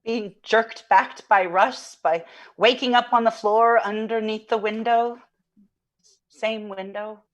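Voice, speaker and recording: An actress playing a haunted, enraptured woman recounting a dream in a halting, wistful monologue. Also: on the high side, steady tone, lo-fi sound. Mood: bereft, subdued. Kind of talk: dramatic interview-style monologue.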